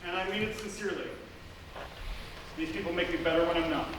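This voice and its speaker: A man's voice: sits high, quick pace. A man hosting a live stage show, giving a curtain-call speech, recorded at a distance in an echoey, noisy theatre. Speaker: show's host and producer thanking cast. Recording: echoey theatre from afar, loud audience noise.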